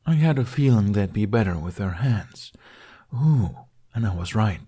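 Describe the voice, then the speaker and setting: A man's voice: fairly low pitch, expressive, normal speed, warm tone, husky. A man voicing a lazy, smug, teasing dragon in a fantasy audiobook, with a drawling delivery.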